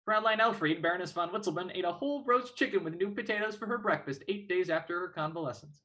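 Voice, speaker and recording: High, bright, pompous doctor, played by a man. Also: fast. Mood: instructing. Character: brisk, courteous, breezily reassuring family doctor. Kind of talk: dramatic reading of a 19th-century play.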